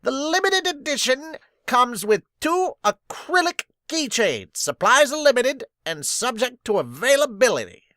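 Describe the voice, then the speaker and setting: A man putting on an overly cheery male ad announcer, reading a slow, exaggerated sales pitch. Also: big pitch swings, bright, forceful tone, dry recording, clean studio sound, exaggerated voice.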